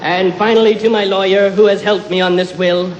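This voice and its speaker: A man's voice: high voice, flat delivery, brisk, highs cut off, lightly acted. A man playing a formal, theatrical lawyer who reads out a will with gleeful spite in a comedy sketch. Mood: gleefully spiteful. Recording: roomy live stage, audience, noisy.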